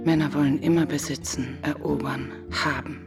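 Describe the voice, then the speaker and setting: An actress playing a wounded, haunted woman in a radio play, speaking in an intimate, breathy half-whisper. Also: low voice, steady tone, normal speed, music in the background. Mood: brooding.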